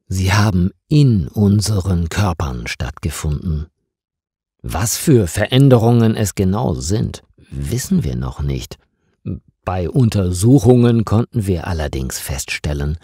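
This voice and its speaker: Audio story role: transformed Mars settler woman, played by a male narrator, eerie, matter-of-fact, slow, mellow delivery. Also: sits low, breathy edge, dry recording, studio quality, full character voice. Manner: measured, matter-of-fact explaining.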